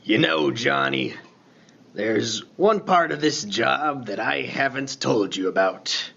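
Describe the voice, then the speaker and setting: A man playing a laconic, folksy lighthouse keeper in a radio play, talking in a plain, unhurried, colloquial way. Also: mid-range voice, animated, gravelly, fairly bright tone, highs cut off, exaggerated voice. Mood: calm, mysterious. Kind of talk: 1950s-set radio play dialogue.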